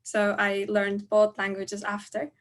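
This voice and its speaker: A sixteen-year-old girl from Turkey, a native Turkish speaker, presents a workshop over a video call. Her voice stays flat, with little rise or fall, and she halts often on fillers. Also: mid-range voice. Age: teens. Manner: hesitant, halting with fillers.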